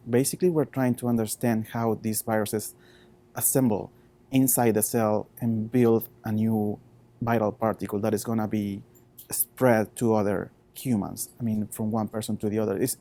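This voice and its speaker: Man, thirties, Chilean Spanish accent, fairly low pitch, dark relaxed tone, halting non-native phrasing, informal interview. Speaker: postdoctoral researcher. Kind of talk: informal interview about work.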